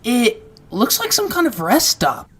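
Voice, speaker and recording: A woman's voice: fairly high pitch, wildly swooping pitch, a little slow, a bit bright, husky, full character voice. A woman playing a sincere, stubborn little male creature with wounded feelings in an amateur audio drama. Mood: matter-of-fact. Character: earnest, wounded one-eyed small male flying creature.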